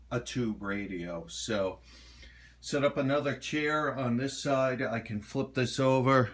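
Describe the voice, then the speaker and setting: A middle-aged man giving a casual, halting home tour of his hobby gear in a rambling, unhurried way. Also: medium pitch. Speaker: hobbyist showing his radio collection. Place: home kitchen.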